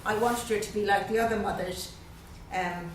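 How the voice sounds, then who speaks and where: A woman's voice: medium pitch, steady tone, distant mic, slight background noise. Irish woman reading poems in an echoey room. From Dublin, Ireland. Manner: slow, measured reading with pauses; chatty and hesitant between poems. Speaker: guest poet. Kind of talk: poetry reading at a literary evening. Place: event room at a literary gathering.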